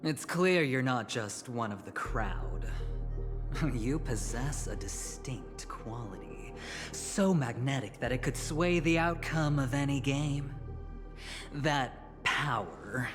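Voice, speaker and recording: An actor playing a suave, scheming young gambler in a video game, with a smug, teasing, theatrical delivery full of deliberate pauses. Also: male voice, mid-range voice, animated. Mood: probing, tempting. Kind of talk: video game dramatic dialogue. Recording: long reverb, music behind voice. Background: clear background music.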